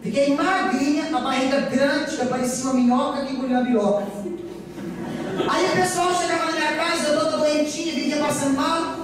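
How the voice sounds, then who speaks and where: High-voiced Brazilian woman preaching in echoing hall. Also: fairly quick. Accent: Brazilian, from Goiás. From Goiás, Brazil. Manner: emphatic, repetitive oratory with rhetorical questions to the congregation. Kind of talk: sermon with personal testimony. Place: church during a live service. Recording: echoey church hall, distant mic, faint noise.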